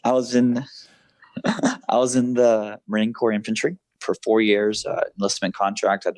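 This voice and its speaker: A man in his thirties, a military veteran and freelance writer, answering interview questions in a measured, thoughtful way with many fillers and restarts. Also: lowish voice, little pitch movement, darkish tone, dry recording. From grew up in Southeast Asia.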